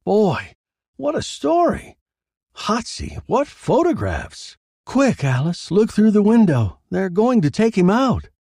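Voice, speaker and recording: A man voicing excitable, jeering newspaper reporters in a dramatised story, eager and gleeful. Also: sits high, takes it slowly, no room sound, clean studio sound, voice pushed up, exaggerated voice. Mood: excited. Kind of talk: dramatised short story reading.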